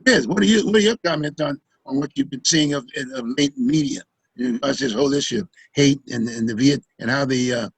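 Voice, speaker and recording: Gravelly, husky man hosting a panel. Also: medium pitch, dry recording, rough recording. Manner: halting, repeats words while searching. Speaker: talk show host. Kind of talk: public affairs panel talk.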